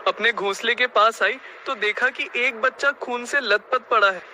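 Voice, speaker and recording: Cartoon parrot friend, man performing: very high, piercingly bright, urgent, dramatic, faint background noise. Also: moderate pace, heavily acted. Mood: grieving. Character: alarmed, urgent parrot friend, brotherly and emotional. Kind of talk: children's cartoon story acting.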